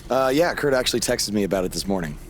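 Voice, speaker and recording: An actor playing a modest, wry young underdog wrestler in video game dialogue, speaking casually and a little hesitantly. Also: male voice, lowish voice, lively intonation, quick pace, a little hiss or hum, lightly acted. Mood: awkward, dry. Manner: casual, hesitant conversational delivery.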